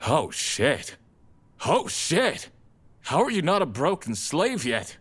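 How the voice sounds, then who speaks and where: An actor playing a laid-back, friendly young vampire gang member in an audio drama, talking in casual slang. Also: male voice, fairly high pitch, very animated, slow pace, fairly bright tone, breathy edge, heavily acted. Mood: shocked.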